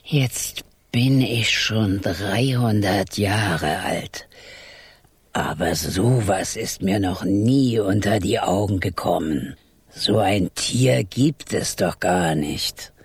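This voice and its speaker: An actor in a children's radio play voicing a grumpy, doting, three-hundred-year-old toad fortune-teller with a husky, muttering delivery. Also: low voice, slow pace, dry recording, full character voice. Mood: pondering, puzzled.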